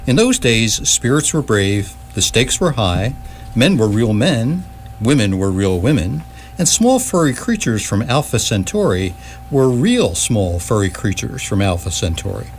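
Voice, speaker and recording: Animated male narrator, grand storytelling. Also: mid-range voice, unhurried. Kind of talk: scripted comic storytelling narration for radio theatre. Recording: stage performance with faint hum.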